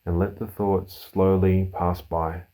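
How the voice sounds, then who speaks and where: A man leading a guided meditation as a mindfulness teacher, giving calm, soothing, unhurried instructions with pauses. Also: sits low.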